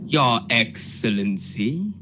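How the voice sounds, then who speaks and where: A man's voice: medium pitch, big pitch swings, slow pace, noisy background. A man acting a deferential court officer in a radio play, careful and matter-of-fact. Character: deferential male court officer giving testimony.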